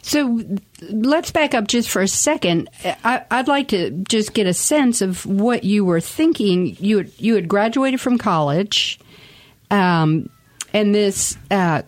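A woman's voice: mid-range voice, expressive, takes it slowly. Woman radio host, conversational. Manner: friendly, conversational questions. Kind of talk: interview and radio chat.

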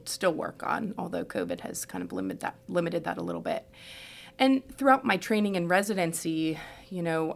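A woman's voice: on the low side, creaky. A middle-aged American woman from Houston telling her own story as a podcast guest in a reflective, explanatory, conversational way. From Houston, United States. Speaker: podcast guest, trauma surgeon. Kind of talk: conversational personal narrative in an interview.

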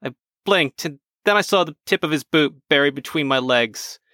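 A man's voice: on the high side, moderate pace, bright, forceful tone, no echo, studio quality, voice pushed up. A man voicing a cantankerous, insult-hurling pensioner in his seventies, telling his story with indignant boasting. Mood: tearful defiant. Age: over 70.

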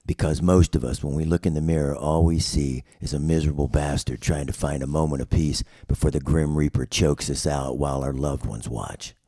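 A man's voice: rumbling low, fairly even intonation, a little fast, few pauses, mellow, slightly rough, dry recording, studio quality, exaggerated voice. A man voicing a seedy, self-loathing drifter who poses as an office life coach, world-weary and confessional in noir comedy style. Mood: bleak brooding. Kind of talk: 1990s noir voiceover table read.

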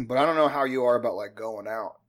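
A man's voice: mid-range voice, brisk. A man, hosting a casual chat in a rambling, profane, self-deprecating way. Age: 50s. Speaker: podcast host.

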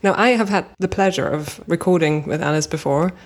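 A woman hosts a podcast interview with an upbeat, encouraging manner. Her voice sits a little low. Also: brisk. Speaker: podcast host. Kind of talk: casual interview.

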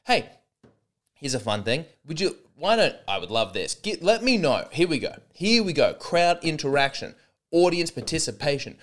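A man's voice: on the high side, very animated, moderate pace, a bit bright. A young man hosting a solo comedy podcast, rambling and sarcastic with many false starts.

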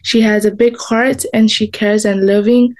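A woman's voice: mid-range voice, fairly even intonation, moderate pace. Earnest young woman from Myanmar. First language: not English. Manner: simple, earnest sentences with small grammar slips. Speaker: teacher and former student.

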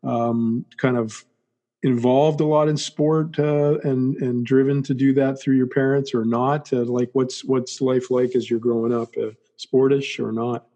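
A man hosting an interview, introducing his guest and asking rambling, halting questions. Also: medium pitch, flat delivery, dark tone. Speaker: podcast host.

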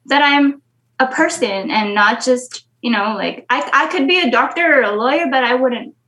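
A woman's voice: high voice, normal speed, sharp tone, low-quality recording. Chatty young woman from the Philippines. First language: Filipino. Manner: candid, chatty, laughs often. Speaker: guest. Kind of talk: casual interview conversation.

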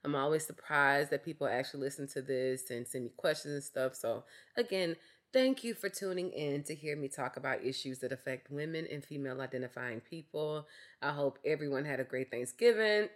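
A young woman hosting a casual solo podcast, candid and chatty, her voice slightly raspy from a cough. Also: low voice, brisk. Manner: candid, chatty, frequent fillers like "like" and "um". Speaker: podcast host.

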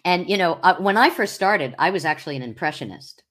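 A woman in her sixties with a deep voice, a comedian guest chatting casually, with hesitations and teasing. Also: a little fast. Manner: relaxed, hesitant, full of ums and teasing. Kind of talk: casual interview chat.

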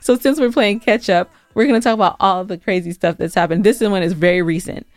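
A woman's voice: mid-range voice, fast talker, no room sound. A woman co-hosting a podcast in casual, teasing banter with her partner. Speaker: podcast co-host.

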